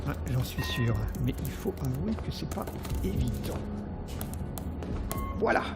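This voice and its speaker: A man's voice: medium pitch, big pitch swings, a little slow. A man playing a determined computer hacker in an audio drama, confident and resolute. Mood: determined, focused. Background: music.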